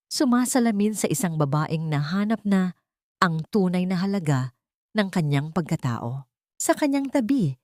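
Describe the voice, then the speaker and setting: A woman narrating a scripted dramatic story in a sentimental, deliberate way. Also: fairly low pitch, a little mellow, dry recording, studio quality.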